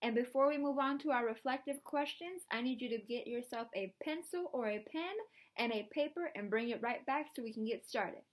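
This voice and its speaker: A woman teacher reading a storybook aloud and talking to her students in an expressive, encouraging way. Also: a little high, fairly quick. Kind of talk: storybook read-aloud and lesson to camera.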